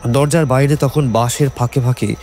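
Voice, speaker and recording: A man narrating a scripted horror story in suspenseful, measured phrases. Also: mid-range voice, steady tone, a little mellow. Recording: faint music behind.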